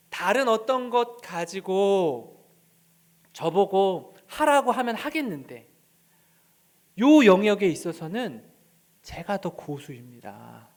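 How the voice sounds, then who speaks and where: A man's voice: high-pitched, full character voice. A man voicing a stubborn tired fisherman. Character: weary stubborn adult fisherman declining.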